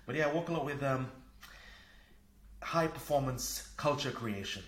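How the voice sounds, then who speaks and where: A man's voice: medium pitch, normal speed. A man from Fiji speaking as a podcast guest, explaining himself conversationally and reflectively. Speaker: podcast guest, consultant and coach. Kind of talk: conversational interview. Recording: roomy, echoey sound.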